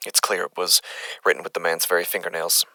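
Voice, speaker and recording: A man playing an unsettled doctor who records his findings haltingly, as a horror podcast monologue. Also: low voice, flat delivery, bright, forceful tone, dry recording. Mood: shaken, hesitant. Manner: halting, hesitant, stammering. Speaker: lead character of a horror audio drama.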